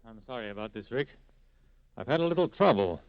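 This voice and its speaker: A man's voice: medium pitch, lively intonation, fairly quick, natural voice. Period radio drama: male actor as idealistic underground leader, grave, eloquent and formal, mid-range voice, slightly muffled old recording. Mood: quiet, polite. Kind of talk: 1940s radio drama acting. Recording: dull vintage radio recording.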